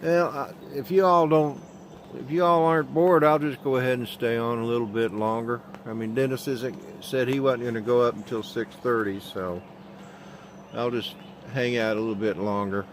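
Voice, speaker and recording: A man with an Oklahoma accent chatting on a live stream from his handheld phone outdoors, talking in a slow, halting monotone and answering viewers' comments. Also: medium pitch, a little hiss or hum. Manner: slow, drawling, halting with many fillers. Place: outdoors at sunrise.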